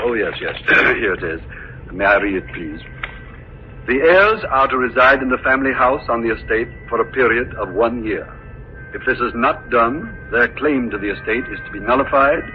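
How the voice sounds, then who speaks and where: An actor playing a fussy, formal, dutiful estate executor with a hesitant, precise manner in 1940s radio drama. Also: male voice, medium pitch, lightly acted. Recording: muffled, noisy old radio recording.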